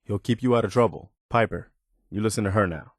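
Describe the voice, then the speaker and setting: An actor playing an earnest, dutiful militia soldier in a post-apocalyptic video game, speaking in a plain, folksy way. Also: male voice, fairly low pitch, brisk, dry recording, clean studio sound, natural voice. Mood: earnest, wary. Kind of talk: video game companion voice lines.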